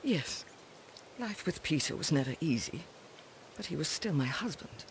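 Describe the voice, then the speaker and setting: A woman's voice: low voice, sing-song, moderate pace, noisy background. A woman playing a respectable, sorrowful middle-aged widow in a video game, speaking formally and hesitantly. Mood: sad, startled. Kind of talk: scripted game dialogue.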